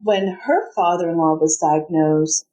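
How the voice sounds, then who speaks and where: A woman's voice: lowish voice, measured pace, mellow. A woman from New Jersey, an interview guest, earnestly recounting her family's caregiving step by step, often restarting her sentences. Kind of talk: conversational interview, personal storytelling.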